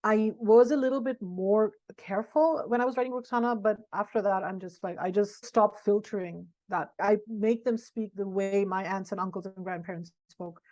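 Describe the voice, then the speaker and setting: A woman's voice: medium pitch, moderate pace, hardly any pauses, a little mellow. A middle-aged woman author answering interview questions in a frank, reflective way, thinking aloud as she goes. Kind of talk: candid conversational interview answers. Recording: dull lo-fi audio.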